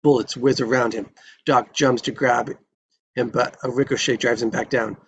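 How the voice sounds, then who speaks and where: A man's voice: medium pitch, steady tone, moderate pace, slight background noise. A man reading screenplay stage directions in a dramatic narrating style at a table read.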